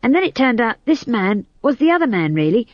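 A woman voicing a gruff male dwarf warrior who blusters and gabbles in a gossipy way. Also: on the high side, very animated, a little fast, no echo, pitched above the performer's own voice. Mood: gushing. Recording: slightly dull, dry recording.

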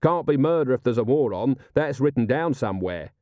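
A blunt, sardonic commander of the watch, as a male narrator plays him, dry and defiant, snarling when pressed. His pitch swings freely in a closely recorded storybook performance. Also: animated, brisk, dark tone, no room sound, close to an everyday voice. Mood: menacing.

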